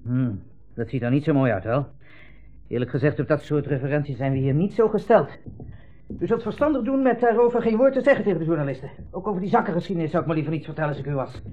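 A man's voice: fairly high pitch, lively intonation, quick pace. An actor playing a smug, insinuating army captain in a 1960s radio play, hemming as he puts condescending, leading questions. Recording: muffled old radio recording, narrow band.

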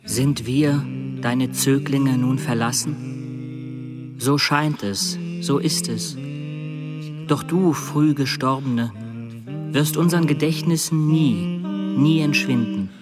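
An actor playing an earnest, stern and dutiful pupil in a literary radio drama, speaking in solemn, measured, formal declamation. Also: male voice, mid-range voice, loud music behind the voice, natural voice. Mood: solemn, grieving.

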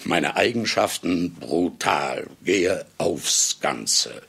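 An actor playing a brash, hard-drinking famous male novelist, addressing the audience in a radio play with sly, ceremonious irony and a gravelly, husky voice. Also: low-pitched, lively intonation, a bit bright, full character voice. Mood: brash, self-mocking. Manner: sly, chuckling, ceremonious asides with drawn-out words. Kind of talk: theatrical radio play monologue.

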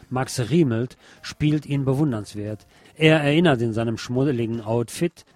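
Mature male radio host, medium pitch, deliberate review delivery with music underneath. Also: darkish tone. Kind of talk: spoken review on a radio show.

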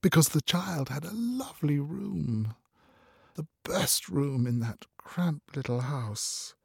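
A man's voice: a little high, expressive, creaky, slightly rough, exaggerated voice. An actor playing a hesitant man who gropes through his memories and corrects himself in a radio drama monologue. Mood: uncertain, searching. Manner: halting, searching memory, self-correcting. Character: hesitant man recalling experiments on children.

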